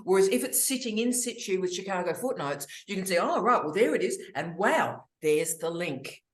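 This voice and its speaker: A woman's voice: medium pitch, expressive, moderate pace. Witty woman on a roomy call. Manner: witty, theatrical asides, warm greetings. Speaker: academic hosting online office hours. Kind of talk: lively, opinionated casual chat. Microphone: video call. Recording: roomy echo on a video call.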